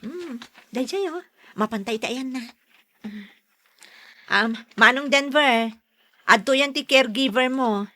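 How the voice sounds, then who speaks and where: A high female voice playing a younger sister in a radio drama. She is teasing and insistent, coaxing her stubborn brother along. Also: slow pace, pauses often, sharp tone, heavily acted. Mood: cheerful, teasing. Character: patient's younger sister, bright, teasing, insistent office worker. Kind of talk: Filipino radio drama acting.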